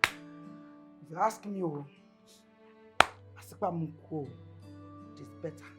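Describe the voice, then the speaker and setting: A woman's voice: low voice, slow pace. A woman acting a bitter, impatient middle-aged mother in a film drama, with a Nigerian accent, scolding and repeating herself for emphasis. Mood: bitter, agitated. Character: bitter, impatient mother, callous toward her sick husband. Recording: music playing behind the dialogue.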